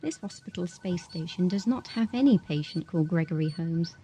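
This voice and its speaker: A woman playing a brisk, sardonic space station doctor in an audio drama, dry and assured. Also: lowish voice, expressive, dark tone, lightly acted. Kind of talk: radio drama acting. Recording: hissy amateur 1980s audio drama tape.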